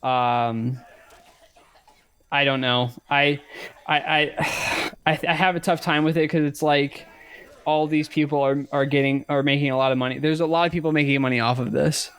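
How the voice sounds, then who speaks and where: A man in his thirties co-hosting a casual podcast chat, speaking haltingly and often repeating words as he works out a thought. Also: on the high side, clear, resonant tone. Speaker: podcast co-host. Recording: clicks and steady background noise.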